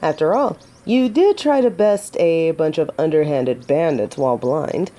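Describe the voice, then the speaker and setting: A woman's voice: deep voice, expressive, a little slow, mellow. A woman playing a gallant, teasing yet earnest female knight in a romantic fantasy audio drama, with formal, old-fashioned phrasing. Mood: playful, teasing.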